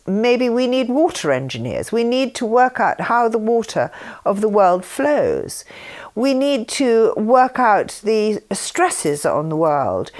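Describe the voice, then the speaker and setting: A woman's voice: mid-range voice, darkish tone. An older woman reading written messages aloud with informal asides, speaking in an earnest way. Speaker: reader and speaker.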